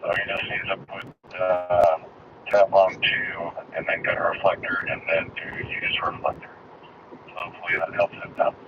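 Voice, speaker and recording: A man's voice: low-pitched, monotone, moderate pace. A man calling in on ham radio, easygoing and matter-of-fact. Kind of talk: radio chat. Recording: dull radio audio with noise.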